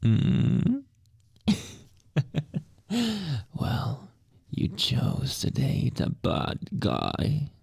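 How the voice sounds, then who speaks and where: Slow, gravelly whispering young man. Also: mid-range voice, wildly swooping pitch, dry recording, clean studio sound. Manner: slow, teasing, breathy whispers with soft chuckles. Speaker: ASMR roleplay creator. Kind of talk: whispered ASMR roleplay as a teasing boyfriend. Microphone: close microphone.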